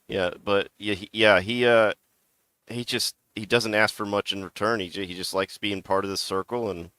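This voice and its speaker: A man on a podcast panel chats casually, stumbling and restarting his sentences often. His voice is fairly low yet bright and smooth, and his mic sounds dry and close. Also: fairly even intonation, normal speed, dry recording.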